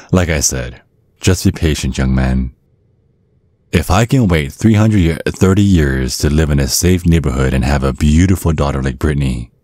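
A man's voice: rumbling low, mellow, no echo. A man playing a centuries-old vampire father in an ASMR roleplay, mock-polite and condescending with a sly threat underneath. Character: menacing, genteel centuries-old vampire father sizing up suitor. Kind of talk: ASMR roleplay, scripted monologue.

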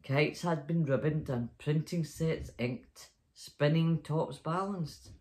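A woman's voice: deep voice, slow, dark tone. A middle-aged woman, hosting story time and reading a children's picture book aloud in a bedroom. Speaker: storytime host. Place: small bedroom, sitting up in bed.

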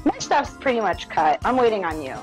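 A woman teaching a friend a recipe over a video call from her own kitchen, calmly listing the steps. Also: mid-range voice, a little fast, over loud music, low-quality recording. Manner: relaxed, plain, listing steps. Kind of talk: casual instruction over a call. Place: home kitchen, indoors.

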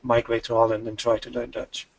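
A man presenting a webinar over a video call, measured and explanatory. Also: mid-range voice, completely flat delivery, creaky, poor audio. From Denmark.

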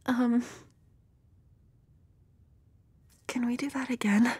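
A woman's voice: on the high side, breathy edge, dry recording. A woman playing a shy, flustered young woman next door, nervous and hesitant, in an intimate romantic audio roleplay. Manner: nervous, hesitant, stammering, giggly.

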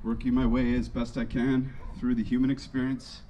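Mellow man reading an earnest speech outdoors. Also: medium pitch, level tone, moderate pace. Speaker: speaker reading a tribute speech. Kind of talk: prepared speech read aloud to outdoor crowd. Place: outdoor festival stage. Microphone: handheld microphone through PA. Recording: dull PA sound with light outdoor noise.

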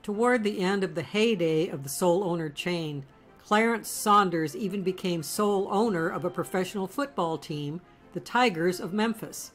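A woman giving scripted documentary narration in a measured, storytelling delivery. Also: lowish voice, soft background music.

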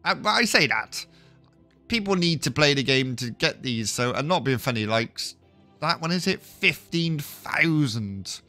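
A man's voice: a little high, animated, a little slow, bright, forceful tone, faint music behind. Chatty man with a lingering cough. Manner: chatty, self-deprecating, thinks aloud. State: recovering from a cough. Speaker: gaming video host. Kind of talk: casual gameplay commentary.

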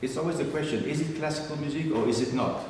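A man in his sixties whose first language is French, speaking English from a concert stage to an audience, halting with fillers and restarts. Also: on the high side, noisy background. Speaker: violinist addressing a concert audience. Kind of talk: unscripted speech from the stage. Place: large concert hall stage with live audience. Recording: distant voice in a reverberant concert hall.

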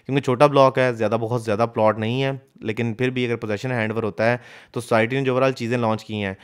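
A man's voice: mid-range voice, steady tone, fast talker, smooth. A man narrating a voice-over of drone footage of a construction site, explaining a map and the building work step by step and mixing in English terms. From Pakistan. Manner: explanatory, walks viewer through map and footage; mixes English terms into his speech. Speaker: real estate consultant.

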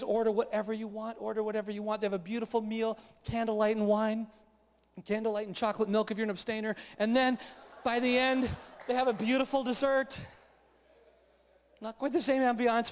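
A man preaching live at a conference, telling a comic story in an animated, playful way with sound effects. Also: high-pitched. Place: large conference hall, live event. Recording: long hall reverb.

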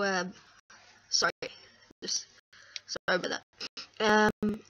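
A woman's voice: a little high, fairly bright tone, no echo. A young woman with a crackly voice, chatting casually and rambling self-deprecatingly into a webcam up close. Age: young adult. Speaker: vlogger. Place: small dim room indoors. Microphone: webcam or laptop microphone up close.

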